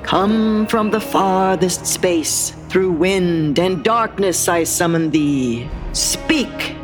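A woman's voice: fairly low pitch, very slow, music in the background. A woman playing a vain, commanding evil stepmother queen, theatrical, in a radio fairy tale parody.

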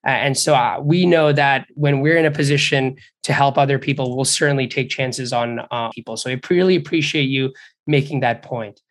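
A man's voice: medium pitch, fairly even intonation, moderate pace. Earnest young podcast host, man. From Bangladesh. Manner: earnest, appreciative, talkative. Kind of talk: conversational podcast interview.

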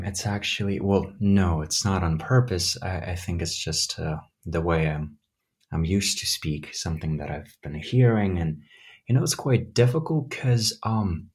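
A man from Ukraine with a blend of American accents, answering interview questions in a hesitant, thoughtful way. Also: sits low. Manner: hesitant, thoughtful, many fillers. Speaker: guest, English teacher.

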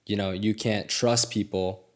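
Casting note: male podcast host, low and even voice, reflective, affirming. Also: unhurried. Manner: affirming, reflective, frequent "mm-hmm". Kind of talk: casual faith conversation.

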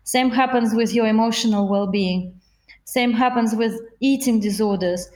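A woman's voice: a little high, moderate pace, darkish tone, echoey room, low-quality recording. A woman with a Russian accent, a voice coach talking earnestly in an interview and laughing often. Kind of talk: podcast interview.

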